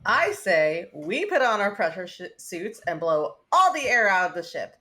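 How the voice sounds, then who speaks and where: A woman's voice: medium pitch, very animated, moderate pace, pushed and bright. A woman playing a pushy, hands-on spaceship engineer in a sci-fi script table read. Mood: insistent.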